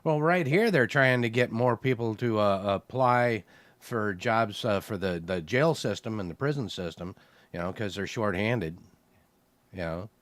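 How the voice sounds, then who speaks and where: A man's voice: fairly low pitch, measured pace, dry recording. Rambling man on a dry mic. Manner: rambling, many fillers like "you know". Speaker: podcast co-host. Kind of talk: casual chat.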